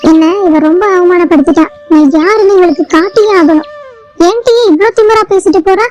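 A woman's voice: very high-pitched, fairly quick, a little mellow, faint music behind, pitched above the performer's own voice. A woman voicing a pushy, scheming female bird housewife in a children's cartoon moral story, demanding and wheedling by turns. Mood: threatening.

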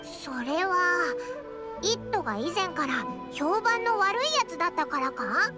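A woman voicing a tiny, boastful childlike fairy companion in an anime game, with a squeaky voice and brash boyish speech. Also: sing-song, unhurried, over loud music, full character voice. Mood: curious.

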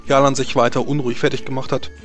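A man acts as game master for a tabletop roleplaying game, improvising narration and handling rules. His pitch is average for a man, and music plays behind him. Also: level tone, quick pace.

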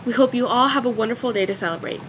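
A teenage girl reading school news and birthday shout-outs in a cheerful, scripted way. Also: on the high side, very fast, a little hiss or hum. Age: teens. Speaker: student news anchor. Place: school TV studio, indoors.

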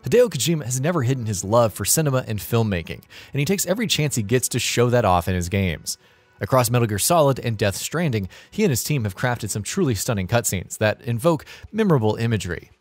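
A man's voice: medium pitch, sing-song, fast, dry recording. A man reading a scripted video narration as the narrator.

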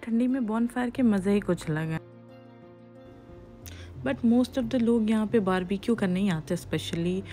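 A woman's voice: medium pitch, measured pace, pauses often, dark tone. Woman narrating a family vlog. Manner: chatty, mixing Hindi with English phrases. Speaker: vlogger. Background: faint music under the narration.

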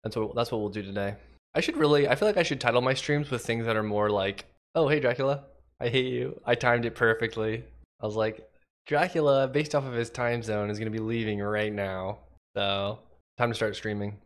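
A man's voice: mid-range voice, a little fast. A young man from Washington state with a slightly nasal, congested voice and a cough, chatting casually with his livestream chat while he codes. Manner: chatty, thinks aloud, reads chat aloud. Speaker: livecoding streamer.

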